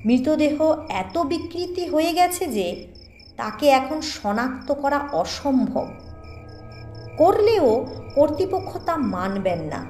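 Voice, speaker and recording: A woman with a high voice tells an eerie ghost story at a slow, deliberate pace. Her delivery is expressive, with dramatic pauses. The voice carries an echo over a music bed. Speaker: audio story narrator.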